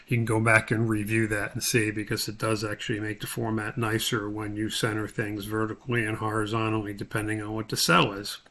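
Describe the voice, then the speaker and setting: A man giving an unscripted step-by-step software tutorial, talking haltingly as he thinks aloud while he works. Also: fairly low pitch, completely flat delivery.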